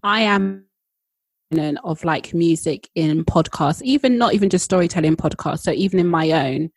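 A woman's voice: fairly low pitch, moderate pace, breathy edge, dry recording. A woman co-hosting a podcast, chatting casually with many fillers. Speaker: podcast co-host. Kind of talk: casual chat.

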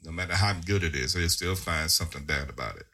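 A man's voice: very deep voice, pushed and bright. A man co-hosting a casual podcast chat, teasing and joking with his friends. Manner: teasing, drawn-out talk. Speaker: podcast co-host.

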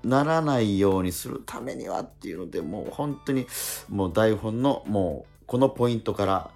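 A man co-hosting a radio show in casual chat, telling stories excitably with repeated emphatic words. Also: lowish voice, moderate pace, faint music behind. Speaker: radio show co-host and stage actor. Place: recorded radio programme.

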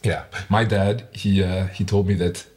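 Low-voiced man, reflective interviewer. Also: steady tone, darkish tone. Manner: reflective, halting, self-correcting. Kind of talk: casual interview conversation.

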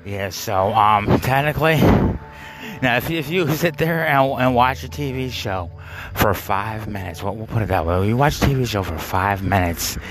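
A man's voice: fairly low pitch, expressive, moderate pace, noisy background. A man telling a rambling personal story, full of fillers, restarts and bursts of laughter at himself. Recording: music playing behind.

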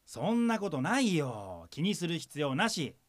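A man playing an excitable, earnest younger brother in a comedic radio drama, with exaggerated delivery. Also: fairly high pitch, unhurried. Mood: earnest, reassuring. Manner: exaggerated, earnest, breaks into yelps and stammers.

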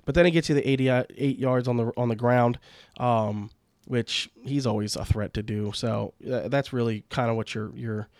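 A man's voice: mid-range voice, moderate pace, darkish tone, no echo, clean studio sound. Congested man recapping football games. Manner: rambling, heavy fillers and restarts. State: congested with a cold. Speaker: fantasy football podcast host. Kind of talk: casual solo sports recap.

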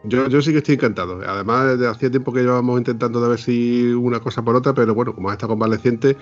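A man's voice: mid-range voice, fairly even intonation, racing, dull audio, soft background music. A man hosting a podcast, telling stories in a casual, jokey way and laughing often. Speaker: podcast host. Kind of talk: casual chat.

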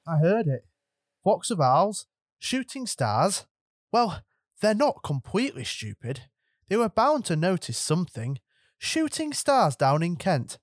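A man reading aloud and voicing a brisk, stern older woman professor of witchcraft in a raised, clipped voice. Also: high-pitched, very animated, no room sound, clean studio sound, voice pushed up, exaggerated voice. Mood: impatient. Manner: clipped and impatient, rising to distress.

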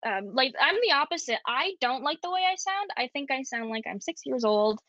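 A young woman with a high, squeaky voice, chatting as a podcast guest, giggly and rambling with many fillers. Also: lively intonation, a little fast, pushed and bright, dry recording, lo-fi sound. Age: young adult. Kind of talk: casual podcast chat.

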